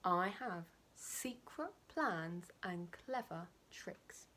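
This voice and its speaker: Storybook villain: enormous gloating male crocodile, played by a woman. Slow, breathy, sly and menacing, with a bright edge. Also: lively intonation, a little hiss or hum, heavily acted. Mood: sly menace. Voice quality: slow, low, often breathy near-whisper. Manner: menacing, relishing each word.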